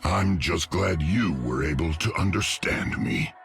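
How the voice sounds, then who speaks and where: A man voicing a big, gentle, earnest and chatty tree creature with a gravelly voice in a video game. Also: low-pitched, animated, measured pace, faint music behind, exaggerated voice. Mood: earnest, polite. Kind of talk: video game character dialogue.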